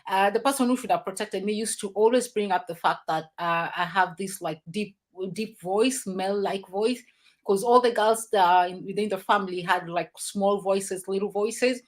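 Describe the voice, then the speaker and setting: A woman's voice: mid-range voice, moderate pace. A woman hosting a casual discussion, earnestly sharing her own story.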